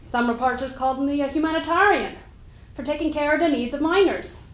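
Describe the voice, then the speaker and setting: Woman acting old woman agitator, feisty, proud, higher-pitched, roomy echo, staged historical monologue. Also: a little fast, natural voice. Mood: proud, defiant. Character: feisty, proud old Irish-born woman labor organizer. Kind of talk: first-person historical monologue, staged dramatic reading. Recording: echoey room.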